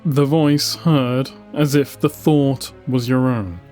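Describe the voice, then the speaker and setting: A man giving a solemn, deliberate audiobook narration of science-fiction lore, with dramatic pauses between short phrases. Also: mid-range voice, steady tone, darkish tone. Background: music.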